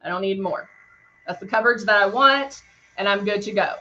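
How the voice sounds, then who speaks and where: A woman's voice: mid-range voice, brisk, bright, forceful tone. A middle-aged woman with a Texan country accent, chatting upbeat through a live makeup tutorial and greeting viewers as she works. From Texas. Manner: chatty and upbeat, talks while applying makeup, playful sound effects. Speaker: makeup brand host. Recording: dull lo-fi livestream audio.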